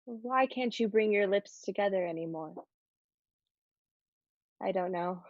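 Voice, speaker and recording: A woman playing a dreamy, yearning adolescent girl in a stage-play reading, musing in a halting, wistful way. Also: mid-range voice, no echo, dull audio, natural voice. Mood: troubled, searching.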